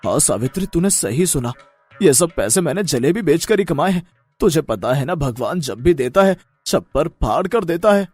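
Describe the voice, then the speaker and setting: A man voicing a cheerful, humble jalebi seller and loving village husband in an animated moral story, speaking eagerly and reassuringly. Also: a little high, normal speed, slightly rough, dry recording, studio quality, faint music behind. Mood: happy, reassuring. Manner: eager, loud, reassuring, expressive.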